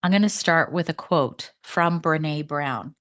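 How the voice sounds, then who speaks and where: Woman in her 50s, solo podcast host, slightly low voice, earnest and chatty, pitch leaps for emphasis. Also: measured pace, a bit bright. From Canada.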